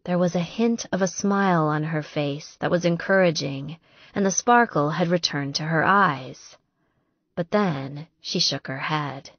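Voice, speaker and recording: A woman narrating a romance novel in an expressive, emotive way, as for an audiobook. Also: low-pitched, measured pace, no room sound, muffled recording. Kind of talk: scripted romance novel narration with acted dialogue.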